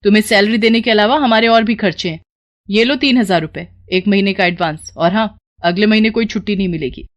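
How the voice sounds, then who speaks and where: A woman's voice: mid-range voice, a little fast, dull audio, natural voice. A woman voicing a stern, grudging household employer in a moral story, curt and scolding. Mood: irritated, stern. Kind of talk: Hindi moral story dialogue.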